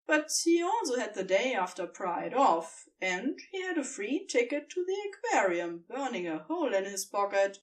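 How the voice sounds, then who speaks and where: A woman with a highish, very animated voice reads fiction as an audiobook narrator. She phrases things dramatically and deliberately, pausing for emphasis. She sounds a little way from the microphone. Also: distant mic. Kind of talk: expressive fan fiction narration.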